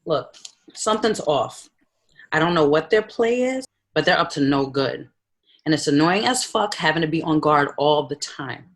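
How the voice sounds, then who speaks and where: A woman's voice: sits low, animated, normal speed. A woman playing a blunt, level-headed, easily exasperated lawyer friend in a comedy table read. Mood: wary, annoyed. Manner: blunt, matter-of-fact.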